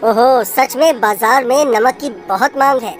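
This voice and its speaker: A woman's voice: high-pitched, unhurried. A woman playing an earnest, exasperated merchant man in a children's moral tale, exclaiming and scolding as the donkey's owner. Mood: brisk, eager. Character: caring but exasperated male salt merchant, donkey's owner. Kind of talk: animated storybook character acting. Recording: music behind the voice.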